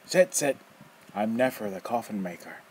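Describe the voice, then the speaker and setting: A man voicing a rough, taunting armless coffin maker in an audiobook reading. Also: fairly low pitch, lively intonation, moderate pace, a little mellow, a little hiss or hum, pitched above the performer's own voice, natural voice. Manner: rough, taunting, bursts of laughter. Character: armless gruff male coffin maker, mocking and menacing.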